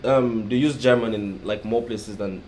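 A young man co-hosting a casual vlog chat, animated and quick to laugh, seated on a couch indoors. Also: on the low side, a crowd in the background, poor audio. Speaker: vlog co-host. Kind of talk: casual chat comparing countries.

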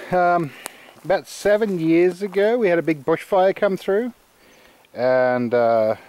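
A middle-aged Australian man giving a rambling, relaxed walking tour outdoors in the woods, full of fillers and restarts. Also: medium pitch. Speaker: channel host. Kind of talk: casual walking tour vlog. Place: outdoors in rural woodland.

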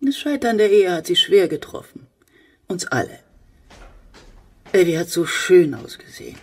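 Husky, genteel older widow. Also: female voice, on the low side, sing-song, moderate pace, recorded from a distance, full character voice. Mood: brittle, wistful. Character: genteel older widowed mother, brittle and snobbish. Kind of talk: German radio play acting.

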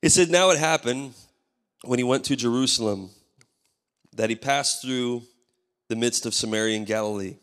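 A man in his thirties, a pastor whose voice is worn and hoarse, preaching a sermon into a handheld mic and calling for amens. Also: medium pitch, normal speed, long pauses, fairly bright tone. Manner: preaching with call-and-response, asking the crowd for amens. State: losing his voice. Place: large church stage. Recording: live church hall reverb.

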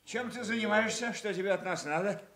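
A man's voice: high-pitched, fairly quick, a bit bright, echoey room, a little hiss or hum. A man acting an imperious, impatient old king in a theatrical radio drama, abrupt and demanding. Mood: questioning, commanding.

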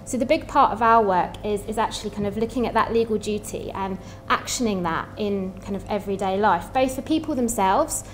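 Brief: woman in her 30s, panel speaker, conversational explainer, mid-pitched, light room noise behind. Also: brisk, hardly any pauses. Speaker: human rights organisation director, panelist. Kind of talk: explanatory seminar talk. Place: indoor seminar room, seated at panel table.

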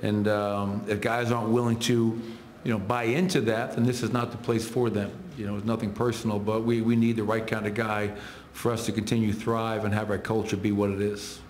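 A middle-aged man speaks as a head coach at a post-game press conference. His voice sits low and barely moves in pitch as he works through numbers in short bursts. The table microphones pick him up in a roomy, echoing press room with a faint hum behind. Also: level tone. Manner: measured, reels off stats in clipped phrases.

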